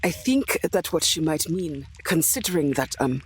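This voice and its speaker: A man playing a tabletop game in character, speaking hesitantly with careful, polite phrasing and a husky, creaky voice. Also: on the high side, very animated, moderate pace. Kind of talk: improvised in-character game talk.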